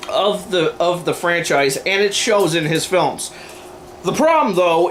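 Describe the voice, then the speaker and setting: A man's voice: on the high side, a bit bright. A man delivering opinionated commentary in an emphatic, sardonic way with crude humour, as in a homemade video. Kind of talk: opinionated scripted-style commentary with ad-libs. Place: indoors, in front of a running TV. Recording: clear background noise, home camera.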